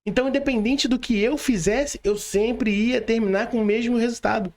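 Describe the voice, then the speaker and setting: A man's voice: extremely high pitch, fairly even intonation, normal speed. A Brazilian man hosting an online interview in informal, slangy speech, often adding little tag questions. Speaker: podcast host.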